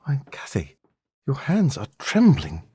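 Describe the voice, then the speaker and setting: Male actor as genteel husband, period drama; husky, dry close sound, animated delivery, condescending and proper. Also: medium pitch, a little slow, dry recording, exaggerated voice. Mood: reassuring. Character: genteel, complacent gentleman husband, easily affronted. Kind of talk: period literary radio drama acting.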